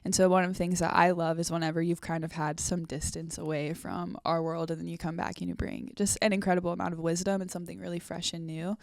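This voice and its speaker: A woman's voice: lowish voice, flat delivery, fast, vocal fry, few pauses, no echo, clean studio sound. A woman in her twenties co-hosting a podcast, upbeat and steering the chat with questions. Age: 20s. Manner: upbeat, guides the talk with questions. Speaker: podcast co-host. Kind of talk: casual conversational chat.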